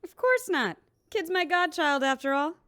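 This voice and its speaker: A brusque, matter-of-fact bar owner. Her voice is high and swings widely in pitch. The sound is dry and close. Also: big pitch swings, normal speed, sharp tone, dry recording, exaggerated voice. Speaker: bartender.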